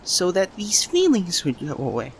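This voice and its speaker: A man playing a lovesick young man confessing to a childhood best friend in a halting ASMR roleplay monologue. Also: sits high, wildly swooping pitch, creaky, a little hiss or hum, heavily acted. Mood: overwhelmed, pleading. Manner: halting, breaks phrases with long pauses.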